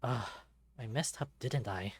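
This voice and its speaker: A man's voice: mid-range voice, unhurried, husky. A man voicing an earnest, awkward young recruit in a visual novel, with a lifted youthful voice and hesitant, apologetic delivery. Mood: embarrassed.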